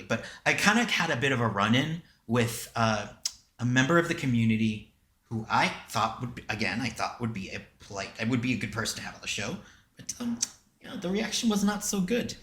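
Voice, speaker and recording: A man's voice: mid-range voice, animated, fairly quick, bright, forceful tone, echoey room. A man hosting a casual podcast, rambling along and often stopping to repeat himself. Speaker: podcast host.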